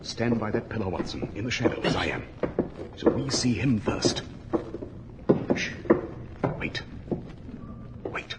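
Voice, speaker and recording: An actor playing a commanding, formal gentleman detective in a 1940s radio drama, precise and theatrical. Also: on the low side, animated, a little slow, long pauses. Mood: wary, firm. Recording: noisy old 1940s radio recording.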